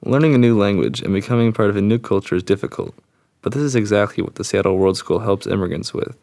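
A man's voice: on the low side, fast, vocal fry, a little mellow. A young man co-hosting a student podcast, reading informative narration from a script.

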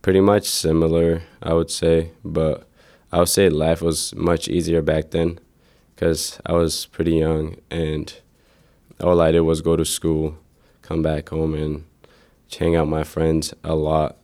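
Very deep gravelly young man, hesitant. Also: completely flat delivery, mellow. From Ethiopia. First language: Amharic. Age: teens to young adult. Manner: hesitant, plain-spoken, many pauses. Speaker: interviewee. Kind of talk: casual interview answers.

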